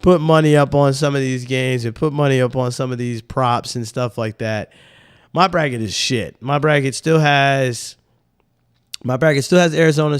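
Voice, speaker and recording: A man's voice: mid-range voice, smooth, no echo, studio quality. A young man with a slightly nasal voice, hosting a sports podcast in loose, rambling casual talk. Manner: loose, animated, rambling with slang.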